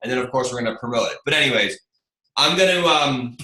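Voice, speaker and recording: A man's voice: medium pitch, expressive, quick pace, sharp tone. A man hosting an online team meeting as a marketing presenter, with a chatty, rambling, informal sales delivery. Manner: chatty, rambling, informal, addresses listeners as you guys. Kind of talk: casual sales pitch on a group video call. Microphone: video call. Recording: roomy echo, faint noise, clicks.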